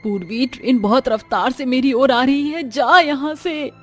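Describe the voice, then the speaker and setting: A woman's voice: sits high, moderate pace, faint music behind, pitched above the performer's own voice, lightly acted. A woman voicing a frightened, protective village mother, pleading urgently in a cartoon story. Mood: desperate pleading.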